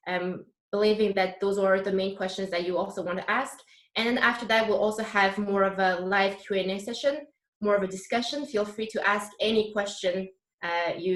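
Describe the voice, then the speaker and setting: A woman in her twenties, a medical student hosting a video call panel in an earnest, friendly way. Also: mid-range voice. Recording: echoey room on a video call.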